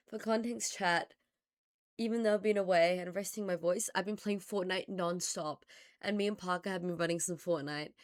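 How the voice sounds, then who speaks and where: A woman streamer with a hoarse, raspy voice, recovering from losing it, chatting casually with her live chat and greeting viewers by name. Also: fairly low pitch. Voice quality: raspy, slightly gravelly.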